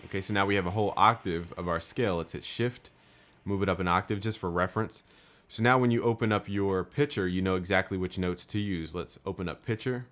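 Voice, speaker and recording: A young man with a very low voice, walking through a music production tutorial step by step. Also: a little fast, fairly bright tone. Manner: step-by-step, instructive. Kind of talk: screen-recorded software tutorial.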